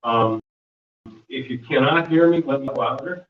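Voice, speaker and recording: A man with a booming voice presenting at a community workshop, talking loosely with many fillers and restarts. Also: moderate pace. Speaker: volunteer mentor presenting a workshop. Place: meeting room. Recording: dull, echoey room audio from far off.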